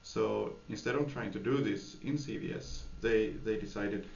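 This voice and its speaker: A man's voice: on the low side, normal speed, creaky. A man from Sweden, answering interview questions hesitantly, with many fillers and restarts. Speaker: software developer, interviewee. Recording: echoey room, dull lo-fi sound, faint noise.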